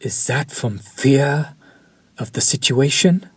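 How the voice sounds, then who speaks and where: A man's voice: medium pitch, breathy edge, dry recording. A man playing a suave vampire chef. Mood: seductive. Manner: slow, formal, seductive and menacing. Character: suave predatory male vampire chef, refined and possessive. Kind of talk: audio roleplay for a listener.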